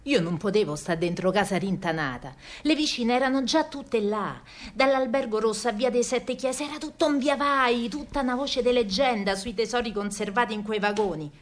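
An actress playing a stubborn young working-class wife with a Roman accent, telling wartime memories in a colloquial, earthy and wry theatrical monologue. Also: female voice, on the high side, normal speed, few pauses, close to an everyday voice. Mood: urgent, vivid.

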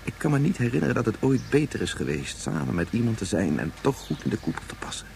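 An actor playing an anguished, regretful scientist of great age in a Dutch science fiction radio play, speaking haltingly and turning back on his own words. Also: mid-range voice, sing-song, creaky, darkish tone, slight background noise. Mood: brooding, regretful. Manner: halting, repetitive, ruminative. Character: anguished 177-year-old scientist, brooding, regretful father.

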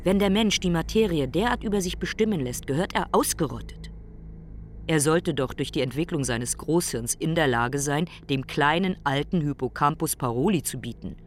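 An actor playing a brooding, philosophical ailing man in a radio play monologue, speaking in deliberate, emphatic short phrases. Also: medium pitch, a little hiss or hum, lightly acted. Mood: stern, contemptuous.

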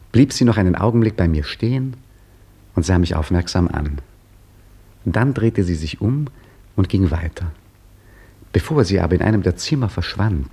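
An actor playing an aging Egyptian embassy caretaker who narrates his own story in a dry, gently teasing manner, in the style of a 1950s radio play. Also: deep voice, husky, full character voice. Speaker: narrator and main character.

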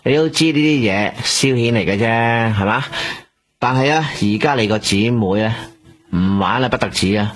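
An actor playing a smooth-talking middleman who coaxes a woman on a boss's behalf in a radio drama. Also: mid-range voice, moderate pace, lightly acted. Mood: coaxing. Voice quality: wheedling, persuasive. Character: smooth-talking middleman running errands for a boss. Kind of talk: Hong Kong radio drama acting.

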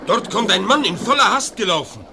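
Weather-wise ferryman, brisk classical radio acting, slightly raised pitch, clear outdoor noise. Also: lively intonation. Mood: curious, unhurried.

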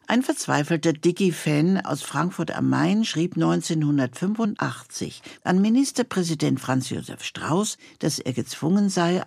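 A woman host reading scripted narration, with a husky, creaky voice. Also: low-pitched, a little mellow, no room sound, clean studio sound. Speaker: host introducing bonus material.

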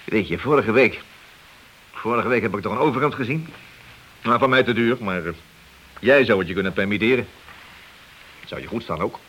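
An actor playing a wily senior police detective in a radio play, leisurely and needling behind a friendly front. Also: male voice, fairly low pitch, moderate pace, long pauses, a bit bright, highs cut off, natural voice. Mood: falsely friendly. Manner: slyly affable, leisurely, needling. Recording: hissy old radio play recording.

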